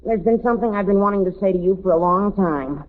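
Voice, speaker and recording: Guy doing a pompous teen boy, super earnest and halting, tinny 1940s radio show. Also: sits high, natural voice. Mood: earnest solemn. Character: earnest, self-important teenage boy suitor. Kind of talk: 1940s radio comedy acting. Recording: thin old radio recording.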